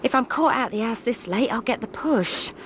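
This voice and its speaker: A cheeky English housemaid, background noise. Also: female voice, a little high, expressive, moderate pace, fairly bright tone. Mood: cheeky, wary. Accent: working-class English. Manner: informal, colloquial, conspiratorial. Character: cheeky, wary young English housemaid, chatty and practical. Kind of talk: radio drama acting.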